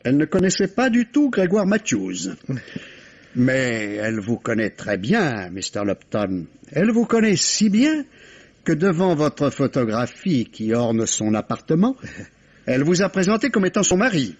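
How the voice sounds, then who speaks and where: An actor playing an authoritative, probing police inspector in a French radio mystery play, formal and drily ironic. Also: male voice, fairly high pitch, very animated, unhurried, a little mellow. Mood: wryly probing. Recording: muffled old French radio recording.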